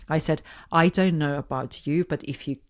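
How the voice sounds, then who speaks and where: A woman's voice: deep voice, moderate pace. A woman with a Finnish accent hosting a podcast, speaking informally on her own and often rephrasing herself mid-sentence. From Finland. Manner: hesitant, rephrases and repeats words mid-sentence. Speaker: podcast host. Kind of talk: informal solo podcast monologue.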